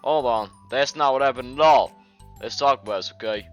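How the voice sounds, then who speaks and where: A man's voice: mid-range voice, brisk, muffled recording, soft background music, pitched above the performer's own voice, close to an everyday voice. A young man doing a confident, reassuring club leader girl. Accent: British.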